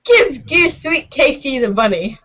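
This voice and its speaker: A woman reading brisk commands aloud as the prompt narrator of a web comic readers' theater. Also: high voice, animated, a bit bright, slight background noise. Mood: commanding.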